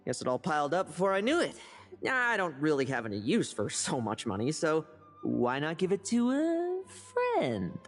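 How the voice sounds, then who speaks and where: A woman's voice: low voice, wildly swooping pitch, normal speed, big-room sound, soft background music, exaggerated voice. A woman playing a cheeky, evasive young knight in a game, with a breezy, glib manner. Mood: scheming, playful. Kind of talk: game voice acting.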